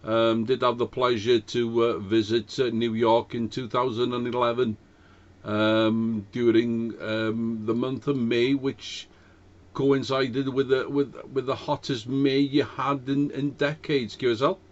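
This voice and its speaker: A man speaking as an amateur radio operator, courteous and hesitant, with frequent pauses. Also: mid-range voice, level tone. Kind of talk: ham radio contact with spelled-out call signs. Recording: dull, band-limited amateur radio audio.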